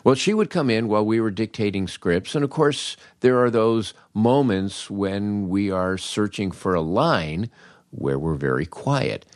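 An older American man with a low voice tells funny first-person stories in a dry, close recording. His delivery is wry and animated, with dramatic pauses and little bits of acted-out dialogue. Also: no room sound. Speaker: podcast host, comedy writer. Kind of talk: humorous first-person storytelling monologue.

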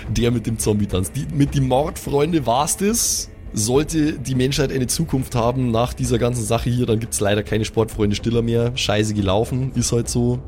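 A man's voice: mid-range voice, normal speed, few pauses, darkish tone, faint music behind. A man with a Bavarian accent improvising colloquial, vivid narration as the game master of a tabletop game.

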